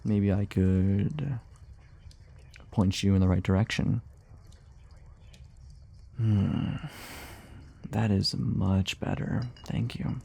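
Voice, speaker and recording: A man's voice: low-pitched, fairly even intonation, extremely slow, creaky, pauses often, warm tone, slightly rough, full character voice. A man playing a weary, grumbling monster hunter in an immersive fantasy audio roleplay, guarded yet sociable, with a hesitant, wryly sarcastic, muttering delivery. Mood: teasing, curious.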